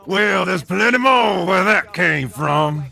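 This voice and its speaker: An actor playing a swaggering, profane gunslinger villain in a video game, with a taunting drawl. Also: male voice, sits high, expressive, slow, gravelly, sharp tone, heavily acted. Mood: gleeful taunting.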